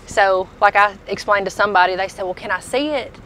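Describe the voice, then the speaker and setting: A woman's voice: medium pitch, brisk, a bit bright, slight background noise, low-quality recording. A woman, casually showing products as a tool dealer inside a packed truck. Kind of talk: casual product walk-through chat.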